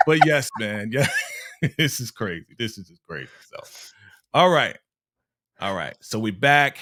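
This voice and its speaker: Laid-back man with a lively voice. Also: unhurried, dry recording, clean studio sound. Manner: laid-back, laughs often, drops to a low mumble. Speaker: show host. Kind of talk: casual TV recap chat.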